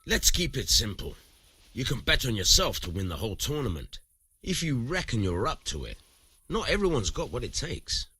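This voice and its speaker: An actor playing a cynical, rough-tongued medieval commoner with a husky voice and colloquial, emphatic delivery in a video game. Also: male voice, medium pitch, very animated, moderate pace, pushed and bright, dry recording, heavily acted. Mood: sly, teasing. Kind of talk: video game dialogue acting.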